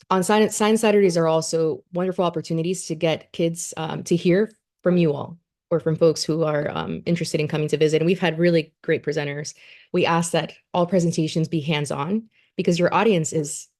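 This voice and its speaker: A woman's voice: sits low, steady tone, moderate pace, darkish tone. A woman presenting her education program at a community meeting, earnest and full of fillers and restarts.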